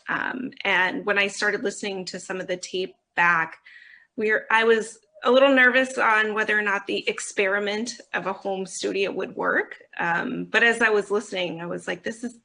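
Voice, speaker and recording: A woman's voice: mid-range voice, vocal fry, sharp tone. A woman speaking reflectively and casually as a panel guest. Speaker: audio company executive, panel guest.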